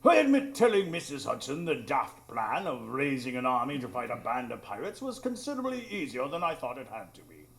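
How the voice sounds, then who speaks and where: A man playing an exasperated gentleman narrator in a radio drama, with dry, formal storytelling. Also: medium pitch, huge pitch swings, fairly quick, few pauses. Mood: wry narration.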